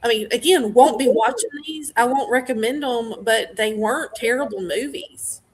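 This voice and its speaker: A woman's voice: on the high side, normal speed, a little hiss or hum. A woman co-hosting a review show, discussing films in a casual, conversational style. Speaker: film review show co-host.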